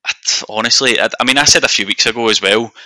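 Young Scottish man, podcast guest and football fan. Very bright, forceful edge, dry recording, conversational. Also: mid-range voice, fast, no echo. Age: young adult. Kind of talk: casual chat.

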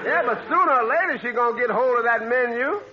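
A man's voice: very high voice, expressive, fast, over a noisy crowd, very noisy. An actor playing a teasing, colloquial male sidekick in a tavern, in 1940s radio comedy style. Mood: skeptical. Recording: dull old radio before an audience.

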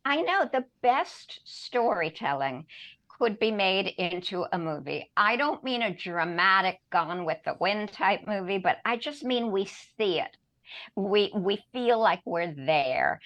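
A woman's voice: mid-range voice, sing-song, measured pace, fairly bright tone. A woman hosting a radio storytelling show, chatting enthusiastically with her co-host. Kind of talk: casual chat with co-host.

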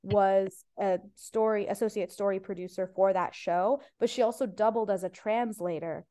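A woman in her thirties, raised in Ohio, whose first language is Farsi. She chats as a guest in a rambling, filler-heavy way, and her voice comes through smooth and clear at an ordinary pitch. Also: fairly even intonation, moderate pace, warm tone. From Ohio, USA. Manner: chatty, rambling with many fillers and restarts. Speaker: guest, comedian and post-production editor. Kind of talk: casual interview conversation.

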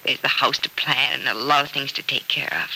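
A hopeful young hospital patient in an old radio soap. Her voice is very bright and rather low for a woman, and she keeps a brave, trusting manner. The vintage recording has faint noise behind her. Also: steady tone, brisk, full character voice, poor audio. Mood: wistful, planning. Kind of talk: 1940s radio soap opera acting.

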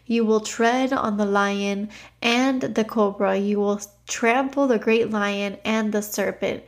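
A woman with a stuffy nose hosting a devotional podcast, reading scripture and telling a story in a conversational way. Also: medium pitch.

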